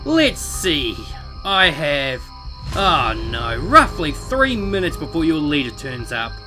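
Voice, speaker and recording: A man's voice: a little high, big pitch swings, takes it slowly, music in the background. A man playing a smug, menacing young gang fighter in a visual novel, mockingly polite and theatrical. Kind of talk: acted visual novel dialogue.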